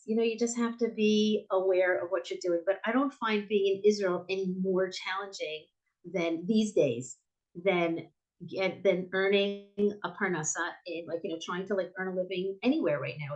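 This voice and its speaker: A woman's voice: mid-range voice, a little mellow, poor audio. A middle-aged American woman, a bookstore owner, answering interview questions in a chatty way with frequent restarts and fillers. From United States.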